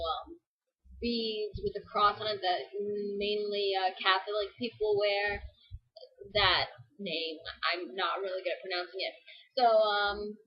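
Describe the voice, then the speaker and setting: A woman's voice: medium pitch, slightly rough. A woman in her twenties chatting casually about anime, rambling with many ums and giggly asides. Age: 20s. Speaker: anime reviewer. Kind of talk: casual vlog talk. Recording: dull lo-fi home recording.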